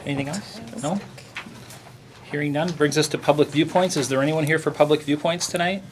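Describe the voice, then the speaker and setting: A man chairing a school board meeting in a procedural, brisk way. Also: a little high, very noisy.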